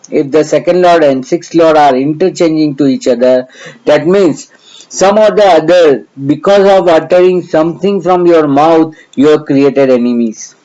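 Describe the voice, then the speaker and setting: Gujarati-accented man, mellow voice, hesitant lecture, muffled lo-fi sound. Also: on the high side. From India. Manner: hesitant, many fillers, earnest explaining. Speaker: astrology teacher.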